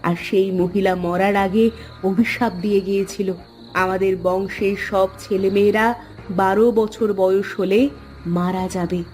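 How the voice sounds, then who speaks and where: Cartoon mother actress: longing, insistent, emotional, average female pitch. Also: fairly even intonation, unhurried, darkish tone, soft background music, lightly acted. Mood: pleading, insistent. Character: anguished mother longing for her lost daughters. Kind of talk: Bengali animated moral-story dubbing.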